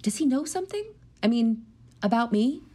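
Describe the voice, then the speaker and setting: A woman's voice: on the high side, animated, moderate pace, dark tone, breathy edge. A woman in her thirties playing an anxious, self-doubting new schoolteacher with a wry edge, in a naturalistic audio drama. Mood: uneasy. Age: 30s. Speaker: actor in an audio play.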